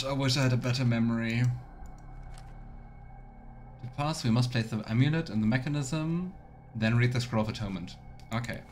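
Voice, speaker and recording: A man streaming a video game with casual commentary and role-play asides, thinking out loud. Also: mid-range voice.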